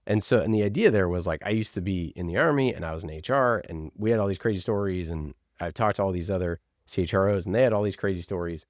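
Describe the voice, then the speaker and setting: A man's voice: low-pitched, fast talker, hardly any pauses, no room sound, studio quality. A man talking casually as an interviewed podcast producer, telling stories in an informal, enthusiastic way peppered with "like". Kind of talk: casual conversational storytelling.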